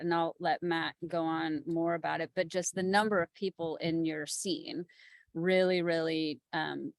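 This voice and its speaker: A woman's voice: deep voice, gravelly, no room sound. A woman explaining her craft as a producer on a panel, informal and tentative in her phrasing. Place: remote call.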